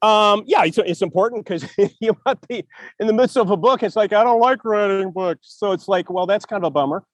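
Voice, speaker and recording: A man's voice: high-pitched, brisk, dry recording. A man hosting a podcast, giving encouraging writing advice in casual chat, often restarting phrases and laughing. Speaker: podcast host.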